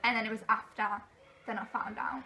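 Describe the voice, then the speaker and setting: Woman chatting about a memory, bright clear-cut higher voice, slight background noise. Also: level tone, a little fast, rough recording. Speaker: pantomime cast member. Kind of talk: casual chat, recounting a memory.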